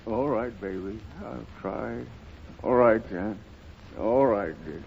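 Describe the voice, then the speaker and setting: An actor playing a middle-aged alcoholic father in 1930s radio style, weary and remorseful, with a halting, stammering delivery. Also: on the low side, gravelly, a little hiss or hum. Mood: remorseful, reluctant. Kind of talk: 1930s American radio acting. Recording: muffled lo-fi 1930s radio broadcast.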